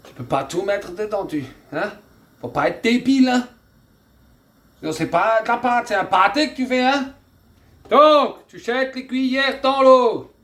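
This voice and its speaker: Slow-talking Alsatian woman cooking. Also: fairly high pitch, animated, fairly bright tone. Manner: jokey, chatty, talks straight to the viewer. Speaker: cooking video host. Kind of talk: comedic cooking demonstration.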